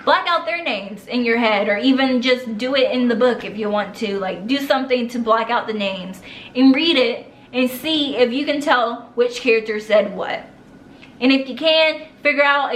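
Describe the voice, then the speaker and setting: A woman's voice: a little high, fairly bright tone. A young adult woman giving writing tips in a casual, rambling way, full of slang. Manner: chatty, rambling, uses slang. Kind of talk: casual talk to camera. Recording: echoey room, faint noise.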